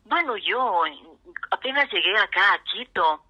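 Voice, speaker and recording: A woman in her seventies with an Ecuadorian highland accent, reminiscing as an interview guest in an emphatic, repetitive way. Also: lively intonation, unhurried, breathy edge, lo-fi sound. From Ecuador, grew up in Riobamba. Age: 70s. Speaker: interview guest, veteran radio actress.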